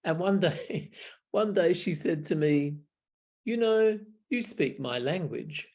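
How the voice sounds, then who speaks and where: A man's voice: a little high, expressive, slow pace, a little mellow. An older Australian man, a Buddhist monk, telling wry, reflective stories in casual talk over a video call from a small room at home. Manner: reflective, wry, chuckling, frequent restarts. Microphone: video call webcam.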